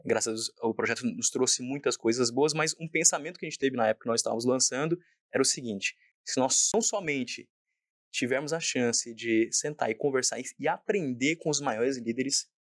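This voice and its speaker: A man's voice: mid-range voice, moderate pace, no room sound, clean studio sound. A man hosting an interview podcast, enthusiastic and effusive as he introduces his guest. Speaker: podcast host.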